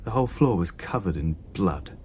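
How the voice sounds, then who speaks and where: A haunted man in a radio play, lowish voice that swings about, telling something horrible, bit of noise behind. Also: expressive, moderate pace, darkish tone. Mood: horrified, haunted. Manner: hesitant, haunted, dramatic storytelling. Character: shaken man recounting a horrifying ghostly vision. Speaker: narrator and character in radio play. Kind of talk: dramatic radio play narration.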